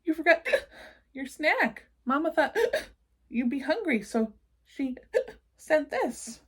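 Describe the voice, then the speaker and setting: A woman's voice: big pitch swings, slow pace, a bit bright, full character voice. A woman voicing a sweet, innocent little girl monster with hiccups in a storybook. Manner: high, childlike, hiccuping.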